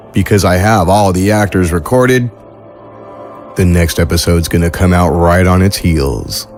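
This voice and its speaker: A man's voice: low-pitched, a little mellow. A man hosting a fiction podcast, giving a scripted introduction to the show. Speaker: podcast host.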